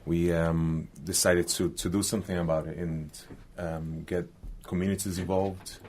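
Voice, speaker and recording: Very deep-voiced Brazilian football manager. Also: male voice, flat delivery, moderate pace, darkish tone, slight background noise. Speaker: football team manager, guest. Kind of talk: halting conversational radio interview.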